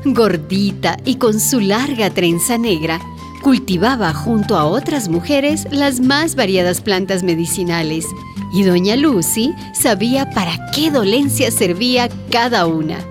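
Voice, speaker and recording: A woman's voice: medium pitch, lively intonation, unhurried, over music, close to an everyday voice. A woman narrating a radio drama as a storyteller, reading a scripted village tale. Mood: storytelling.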